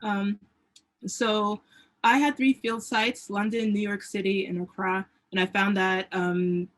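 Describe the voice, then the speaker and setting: American woman researcher, monotone, measured panel talk. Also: mid-range voice. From United States. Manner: thoughtful, frequent fillers. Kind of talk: academic panel discussion.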